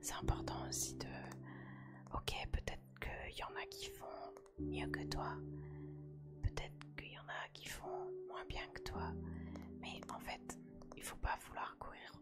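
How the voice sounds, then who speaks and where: A woman whispering an intimate ASMR chat to the listener, softly and hesitantly, in short phrases with pauses between them. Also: a bass voice, completely flat delivery. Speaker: ASMR creator speaking as host to viewers. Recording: music playing clearly behind the whisper. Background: music.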